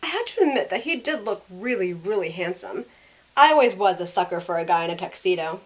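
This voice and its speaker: A woman's voice: fairly low pitch, lively intonation, a little fast, natural voice. A young woman playing a sassy, loyal modern heroine, narrating in the first person in a wry, conversational way. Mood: fond, wry. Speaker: narrator and lead character. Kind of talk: first-person story narration with in-character dialogue.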